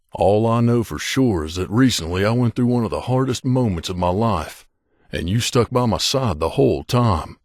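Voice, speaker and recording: Male wasteland companion for a video game, played by a man: lowish pitch, heartfelt and emotionally raw, halting delivery, dry studio-tight sound. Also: dry recording. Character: earnest, wounded male wasteland companion, grieving and devoted.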